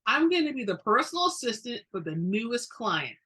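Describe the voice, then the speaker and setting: An earnest young man in a storybook reading, acted by a woman. He is sweet and excitable, with a bright, forceful sound. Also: a little high, a little fast, husky, exaggerated voice. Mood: excited. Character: eager, sweet young great-grandson, adult man.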